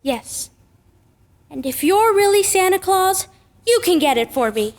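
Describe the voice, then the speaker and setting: A woman's voice: extremely high pitch, expressive, measured pace. A woman playing a serious, precocious young schoolgirl in old-time radio drama style, with prim, matter-of-fact phrasing, in a reverberant space. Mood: earnest.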